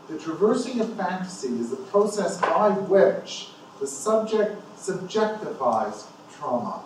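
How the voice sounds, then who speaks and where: A man presenting at a conference, reading a formal academic paper aloud with measured, deliberate phrasing. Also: on the high side, a little mellow. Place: indoor university conference room. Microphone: distant from the speaker. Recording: echoey room, distant mic, faint noise.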